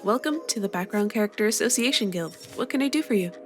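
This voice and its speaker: A woman voicing a friendly, busy young guild master who welcomes a new hire in a warm, slightly bossy way. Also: medium pitch, expressive, fast, lightly acted. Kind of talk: scripted voice drama. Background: faint background music.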